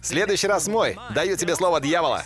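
Proud sarcastic teen devil for a cartoon; animated delivery over clear music. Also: male voice, fairly high pitch, fairly quick. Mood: defiant. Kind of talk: Russian cartoon dub.

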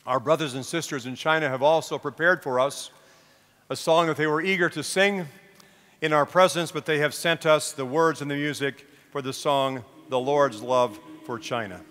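Man, congress address, slightly high voice, long hall echo, faint music. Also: fairly bright tone. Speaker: congress leader. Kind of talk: speech to a large assembly. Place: large convention hall.

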